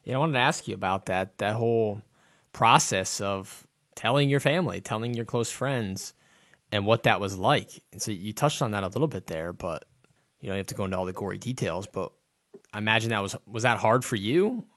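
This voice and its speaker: Low-voiced empathetic male interviewer. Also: a little fast, fairly bright tone, dry recording, studio quality. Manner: empathetic, thinks aloud, many "right?" tags. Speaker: interviewer host. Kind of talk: conversational interview.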